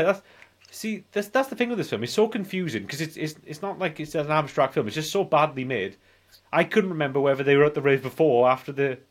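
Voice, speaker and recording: A man in his forties chatting casually as a podcast host, rambling and often repeating himself. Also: on the high side. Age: 40s. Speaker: film review podcast host. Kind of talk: casual chat.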